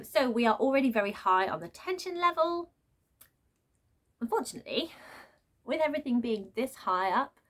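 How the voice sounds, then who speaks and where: Woman doing an off the cuff book rant, kinda sarcastic and animated, medium pitched voice. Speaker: book reviewer. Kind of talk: unscripted book rant with read-aloud excerpts.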